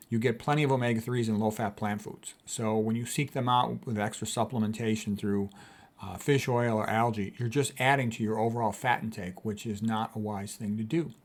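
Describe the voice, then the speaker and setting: A man, a physician, explains points in an informal lecture, with an emphatic, opinionated manner and plain repetition. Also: medium pitch. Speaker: physician presenting health lecture, author. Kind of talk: informal explanatory lecture reading and commenting on quotes.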